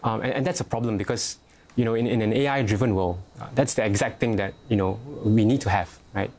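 A man's voice: lowish voice, fast talker, darkish tone, slight background noise. A young Singaporean man answering interview questions, hesitant, with many fillers and restarts. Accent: Singaporean English. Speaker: youth organisation head, interviewee. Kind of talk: news interview.

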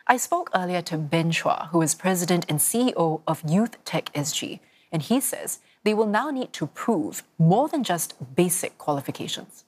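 A woman presenting a news report and interviewing a guest, with measured, clear delivery. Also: lowish voice, animated, darkish tone. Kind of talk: scripted news reading and interviewing.

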